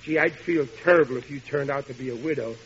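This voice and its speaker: An actor playing a bumbling, eager husband who stammers when flustered, in old-time radio sitcom style. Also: male voice, mid-range voice, fast, lightly acted. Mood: eager, devoted. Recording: muffled, hissy vintage radio broadcast.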